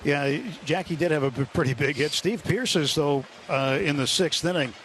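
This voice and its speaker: A man's voice: fairly high pitch, normal speed, a bit bright. A man working as a radio sports announcer, chatting with a player in a post-game interview. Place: ballpark. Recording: faint outdoor ballpark noise.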